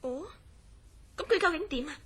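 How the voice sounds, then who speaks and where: Radio drama: concerned young Hong Kong woman played by an actress, high-pitched, polite, measured and grave as she recounts a mystery. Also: expressive, a bit bright. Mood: solemn, concerned. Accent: Hong Kong Cantonese. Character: concerned, earnest young woman telling of her troubled friend. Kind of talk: Cantonese horror radio drama acting and narration.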